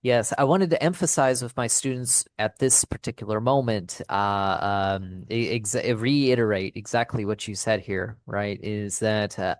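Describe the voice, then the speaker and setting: A man hosting a casual interview as an English teacher, speaking in a halting, deliberate way and checking in as he goes. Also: lowish voice, no room sound.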